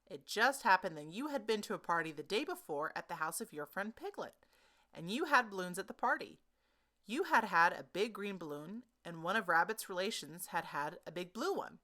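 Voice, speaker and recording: A woman's voice: fairly low pitch, sharp tone. A woman storyteller reading a children's book aloud, expressively and with dramatic emphasis.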